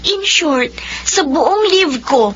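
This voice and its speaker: An actress playing a hardworking company officer and daughter in an expressive radio drama style. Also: female voice, very high voice, slow pace, exaggerated voice. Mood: frustrated. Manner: expressive, code-switching between Filipino and English. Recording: muffled radio drama with music bed.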